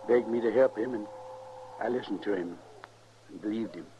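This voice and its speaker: An actor playing a grieving, worn older rancher father with a rural western American accent, halting and folksy, in a radio drama. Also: on the low side, mellow, close to an everyday voice. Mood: resigned, sorrowful. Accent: rural American West. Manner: folksy, halting, heavy-hearted. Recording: muffled, noisy old radio recording.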